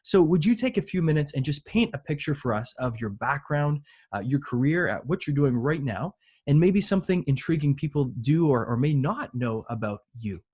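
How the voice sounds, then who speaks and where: A man's voice: on the high side, expressive, normal speed, darkish tone, slightly rough. A man hosting a faith podcast, speaking in an upbeat, earnest way as he introduces the show and his guest. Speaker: podcast host.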